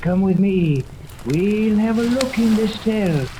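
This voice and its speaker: An actor playing a haughty, sinister old scientist mastermind in 1930s radio serial style, pompous and formal. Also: male voice, high-pitched, animated, slow. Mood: irritated, imperious. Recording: noisy vintage 1930s radio recording.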